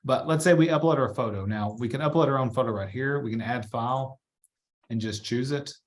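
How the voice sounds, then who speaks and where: A man giving a casual software walkthrough as a trainer, chatty and informal, thinking aloud as he works. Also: medium pitch, fairly quick. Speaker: real estate trainer.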